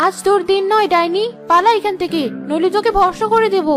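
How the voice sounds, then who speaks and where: A woman's voice: very high voice, fairly even intonation, brisk, faint music behind. A woman voicing a brave, righteous fairy rescuer in a cartoon fairy tale, commanding and indignant. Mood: indignant, defiant.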